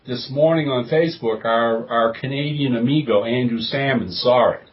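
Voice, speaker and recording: A man's voice: medium pitch, normal speed, crowd noise. A man hosting a podcast in casual, emphatic chat, gushing and repeating himself for stress. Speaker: podcast host. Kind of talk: casual enthusiastic chat about TV. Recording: distant mic, dull lo-fi sound.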